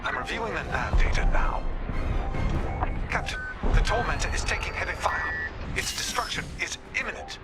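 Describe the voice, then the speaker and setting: A man's voice: a little high, huge pitch swings, normal speed, long pauses. A man playing a formal military officer reporting battle status in a space combat game. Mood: businesslike. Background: loud music.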